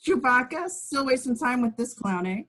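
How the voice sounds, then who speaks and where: A woman playing a suave, dashing male administrator in his thirties with a teasing, drawn-out delivery in a script read. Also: sits high, animated, fairly bright tone. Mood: amused, jovial. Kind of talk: dramatic table read of a film script.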